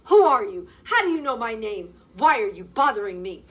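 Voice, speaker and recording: A woman voicing a frightened, confused young homeless woman who cries out in panic, with a husky voice. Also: fairly high pitch, very animated, moderate pace.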